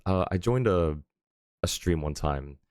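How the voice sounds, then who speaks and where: Deep voiced guy streaming from home, chatty and rambly, lots of ums, dry close mic. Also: lively intonation, a little slow, darkish tone, dry recording. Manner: rambling, lots of fillers and chuckles. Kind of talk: casual stream chat.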